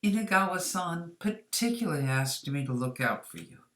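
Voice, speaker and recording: A woman's voice: fairly low pitch, lively intonation, moderate pace, a bit bright, echoey room, voice pushed up. Woman reading as a gracious, polite hostess. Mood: welcoming. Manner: polite, welcoming. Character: gracious, attentive young hostess.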